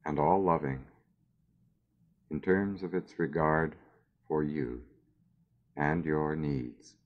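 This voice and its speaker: Really low voiced guy doing guided meditation, super slow n soothing, lots of pauses, kinda muffled lo-fi audio. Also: steady tone. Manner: measured, soothing delivery with long pauses.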